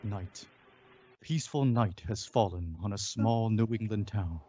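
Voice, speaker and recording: A man playing a dramatic announcer, narrating an old-time radio mystery in a deliberate style. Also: fairly low pitch, mellow, natural voice. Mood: dramatic, suspenseful. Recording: dull lo-fi audio. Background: music.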